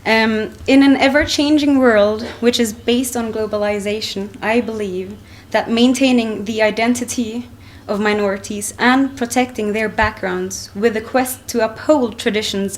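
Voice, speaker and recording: A young woman from Finland reading a prepared talk into a lectern microphone in a conference hall, measured and with frequent ums and restarts. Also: fairly high pitch, a little hiss or hum. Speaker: conference speaker. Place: wood-panelled conference hall, indoors.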